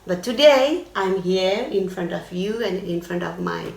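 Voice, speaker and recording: A middle-aged woman from Nepal with a Nepali accent, speaking as an interview guest, halting and searching for words. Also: fairly low pitch, expressive, vocal fry, a little mellow, slight background noise. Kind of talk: casual interview. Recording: echoey room, mic at a distance.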